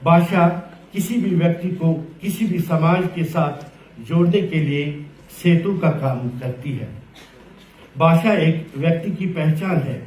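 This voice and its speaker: Man, formal speaker at a function, slow and weighty, mellow tone, distant in an echoey hall. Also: a little high. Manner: slow, declamatory, listing with emphasis. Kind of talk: formal speech. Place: large hall.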